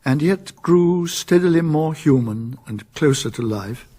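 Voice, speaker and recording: A British man narrating a literary radio drama in a husky voice, solemn and measured. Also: on the high side, expressive, warm tone. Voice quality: husky, creaky.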